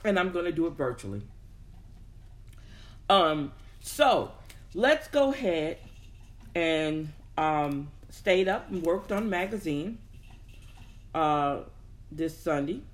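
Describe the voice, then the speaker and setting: The speaker is a host talking casually and motivationally to followers. The voice sits at a medium pitch. The delivery is informal, thought out aloud, with words repeated to drive a point home. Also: big pitch swings, slow pace, long pauses. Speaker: host of a personal development show. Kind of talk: casual motivational talk to an audience.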